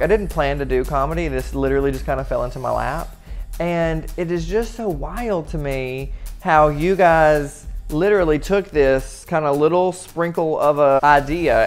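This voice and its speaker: A young man talking casually and excitedly in a backstage room on a lapel mic, playful and gushing. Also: a little high. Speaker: comedian.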